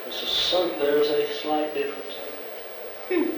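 A man's voice: on the high side, big pitch swings, unhurried. Dry-joking man in an echoey room. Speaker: interviewee. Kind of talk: casual question-and-answer. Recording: echoey, distant, noisy recording.

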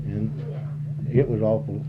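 A man's voice: fairly low pitch, little pitch movement, a little slow, phone-quality sound, background noise. Folksy seventy-year-old man. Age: 70s. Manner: rambling, folksy, repeats himself. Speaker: interviewee. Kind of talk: oral history reminiscence.

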